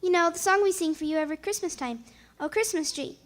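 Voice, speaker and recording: A woman's voice: very high-pitched, fast talker. A woman playing a chirpy, inquisitive little neighbourhood girl in a 1940s radio comedy, eager and childlike. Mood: eager, admiring.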